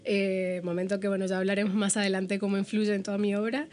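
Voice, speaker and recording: A woman's voice: mid-range voice, little pitch movement, fast talker, darkish tone. A woman with a Venezuelan Spanish accent, talking casually as an interviewed guest on a podcast.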